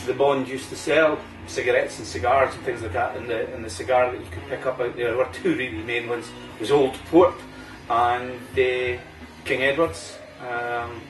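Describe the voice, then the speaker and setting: A relaxed middle-aged Scottish man with an Aberdeen accent chats easily about cigars across a home bar table. He is picked up by a camera mic some way off, so the room sounds roomy and a little distant. Faint music plays behind him. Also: mid-range voice, moderate pace. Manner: relaxed, chatty, local slang like "wee" and "aye". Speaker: cigar review host. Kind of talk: casual chat.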